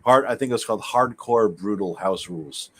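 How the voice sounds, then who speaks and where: A man's voice: on the low side, moderate pace, fairly bright tone. A man co-hosting a gaming livestream who laughs often and swears casually. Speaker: livestream co-host.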